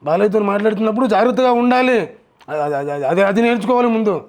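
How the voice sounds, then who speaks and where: A high-voiced male mimicry artist answering questions. He talks haltingly, repeating himself as he restarts. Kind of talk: interview answers.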